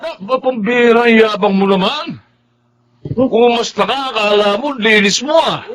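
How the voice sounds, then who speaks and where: A man's voice: extremely high pitch, unhurried, a crowd in the background. An actor playing a boisterous, pushy male drinking buddy in a radio drama, rowdy and taunting.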